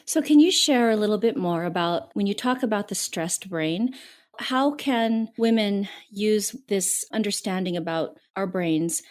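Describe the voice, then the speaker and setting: Woman podcast host, mid-pitched, affirming, conversational interviewer. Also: measured pace. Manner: warm, affirming questions with light laughs.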